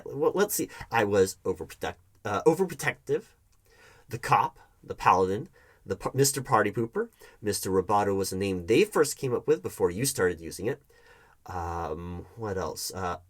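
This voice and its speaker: A man's voice: medium pitch, close to an everyday voice. Man acting a sarcastic, exasperated hiring manager. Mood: sarcastic, bitter. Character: hurt, defensive male hiring manager confronting his volatile ex-girlfriend. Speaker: roleplay performer. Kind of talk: one-sided audio roleplay acting.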